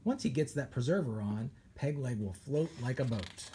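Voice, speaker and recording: A man, a children's author, reads a science picture book aloud with animated storytelling and pirate flair. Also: mid-range voice, moderate pace, noisy background. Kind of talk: expressive read-aloud.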